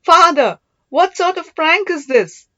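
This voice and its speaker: A woman's voice: extremely high pitch, lively intonation, a little slow, fairly bright tone, no room sound, dull audio, voice pushed up, heavily acted. A woman voicing a young, spirited princess and sister in an audiobook, questioning and exclaiming. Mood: amused surprise. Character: gentle young Pallava princess, glum yet spirited sister.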